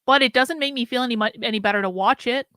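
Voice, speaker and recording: A woman's voice: on the high side, quick pace, bright, forceful tone, no room sound, studio quality. A woman hosting a reaction show, talking bluntly in rambling asides with lots of filler and the odd swear word. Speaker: commentary host reacting to a clip. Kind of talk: casual reaction commentary.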